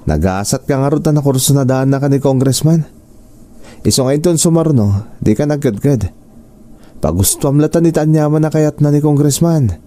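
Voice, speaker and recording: Casting: actor as self-serving Filipino boyfriend type, very soft dark timbre, coldly dismissive, radio drama. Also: male voice, fairly high pitch, moderate pace, natural voice. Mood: coaxing, callous. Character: callous, dismissive, self-serving male partner. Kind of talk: Ilocano radio drama acting.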